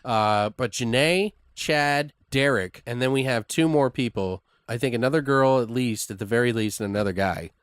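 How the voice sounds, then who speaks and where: A man's voice: medium pitch, moderate pace, hardly any pauses, smooth, dry recording. A man co-hosting, casually brainstorming a made-up story in a loose, rambling, profane way. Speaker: podcast co-host.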